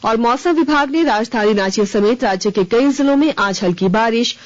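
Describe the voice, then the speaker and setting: A woman reading a scripted radio news bulletin in a formal, measured announcer delivery. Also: on the high side. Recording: dull, band-limited radio broadcast.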